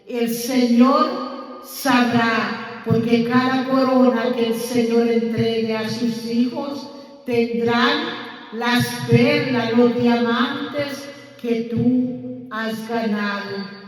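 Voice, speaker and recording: A woman's voice: on the high side, fairly even intonation, drawn-out words. An older woman from Guatemala, earnestly pleading as she preaches an evangelistic sermon and shares her testimony. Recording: echoey hall from far off with soft music.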